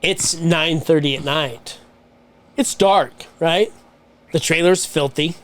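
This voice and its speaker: A man's voice: fairly high pitch, takes it slowly, a bit bright, slight background noise. A man telling a long, rambling story on a podcast, swearing casually. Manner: swearing, rambling anecdote with quoted voices. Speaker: podcast co-host.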